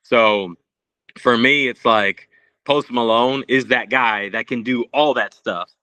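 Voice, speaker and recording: A man's voice: medium pitch, takes it slowly, pushed and bright, dry recording, rough recording. A man from Puerto Rico, a musician, chatting casually and earnestly as a podcast guest. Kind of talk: casual interview chat.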